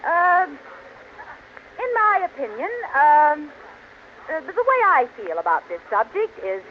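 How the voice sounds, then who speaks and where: High-pitched scatterbrained radio housewife. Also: female voice, big pitch swings, heavily acted. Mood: flustered, stubborn. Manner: theatrical comic delivery, halting speechmaking. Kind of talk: 1940s radio sitcom acting. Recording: noisy, muffled old radio recording.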